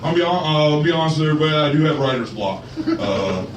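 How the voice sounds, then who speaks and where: A man's voice: on the high side, expressive, quick pace, a loud crowd, voice pushed up, natural voice. A man voicing a crude, unraveling male road comedian who rambles with stumbling restarts. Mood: rambling. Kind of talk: stand-up set in a radio play. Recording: distant echoey live club recording.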